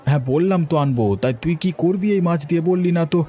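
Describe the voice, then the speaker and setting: A man's voice: on the high side, quick pace, dark tone, some chatter behind, close to an everyday voice. A man voicing a village man in a cartoon, with an emphatic, conversational manner. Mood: casual. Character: male villagers in a cartoon, bantering and quarrelling. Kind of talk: Bengali cartoon voice acting. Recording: faint music behind the voice.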